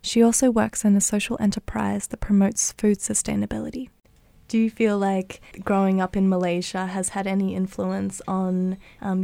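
A woman's voice: mid-range voice, gravelly, warm tone, no echo. A woman hosting a radio current affairs program, presenting scripted introductions and putting questions to guests. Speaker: radio host and interviewer.

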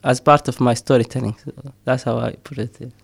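Man, middle-aged, gravelly, dry close sound, hesitant with fillers. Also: medium pitch, steady tone, fairly quick, dry recording. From Somaliland. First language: Somali. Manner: hesitant, many fillers, frequent laughs. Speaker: poet and storyteller. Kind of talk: casual interview talk.

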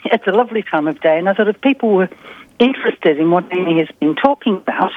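A woman calling in to a radio show, a garden guide chatting warmly and laughing often, heard over a phone line. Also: on the low side, animated, quick pace. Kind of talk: casual radio chat. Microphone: telephone.

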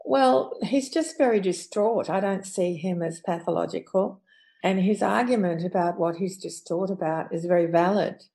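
A woman giving her view as an expert guest, halting and thinking aloud. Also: on the low side, vocal fry, mellow. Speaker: psychologist guest. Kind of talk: reflective interview talk.

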